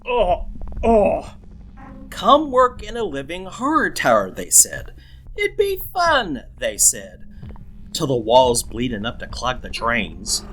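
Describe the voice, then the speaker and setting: A woman's voice: sits low, wildly swooping pitch, takes it slowly, long pauses, heavily acted. Casting: woman as sarcastic horror villainess, swooping intonation, theatrical taunts, noisy ambience behind her. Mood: disgusted sarcasm. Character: snarky, gleeful horror-show villain host, pop-culture mocking. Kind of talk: comic horror audio drama.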